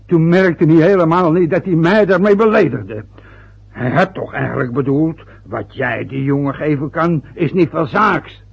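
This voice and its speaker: A man playing a bitter, gravelly old father. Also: fairly high pitch. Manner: bitter, brooding, short of breath later. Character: aging working-class father, bitter and ill. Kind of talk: radio drama acting. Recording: muffled old radio play recording.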